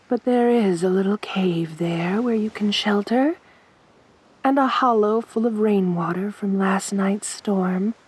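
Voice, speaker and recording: A woman playing a possessive, teasing siren in a soft, breathy voice for an ASMR roleplay, with a seductive, toying manner. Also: mid-range voice, poor audio. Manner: slow, seductive, toying, assertive. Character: beautiful sadistic siren, winged monster woman, teasing, possessive.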